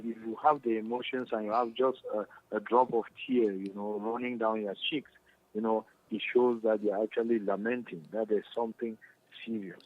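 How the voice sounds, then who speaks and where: A man with a Cameroonian accent answering interview questions in a halting, repetitive, earnest explaining way. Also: lowish voice. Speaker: interview guest, stage actor. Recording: muffled lo-fi radio phone call.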